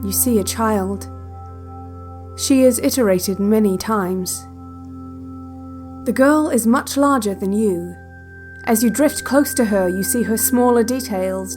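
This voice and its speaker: A woman playing the calm narrator of a relaxation cassette in an audio drama, giving measured, deliberate guided visualization over music. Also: medium pitch, close to an everyday voice. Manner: measured, deliberate, instructional, with short spaced sentences. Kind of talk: slow guided visualization, scripted second-person narration. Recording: music bed under the voice.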